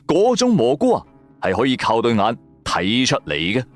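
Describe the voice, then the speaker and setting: A man's voice: mid-range voice, wildly swooping pitch, normal speed, faint music behind. A man with a Cantonese accent, narrating a first-person story in a dramatic audio drama style.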